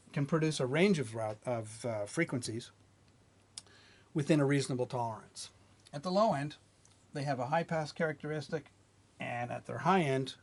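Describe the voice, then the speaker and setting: A middle-aged man, an engineering manager, giving a measured technical lesson at a whiteboard. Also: mid-range voice. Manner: plain, measured teaching delivery with light restarts. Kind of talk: explaining at a whiteboard.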